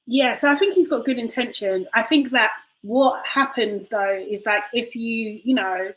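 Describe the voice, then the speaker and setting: A woman co-hosting a casual talk show. She thinks aloud, hesitant and careful, leaning on fillers like "like" and "you know", at an ordinary pitch. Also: a bit bright, low-quality recording. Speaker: podcast co-host. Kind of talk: casual discussion.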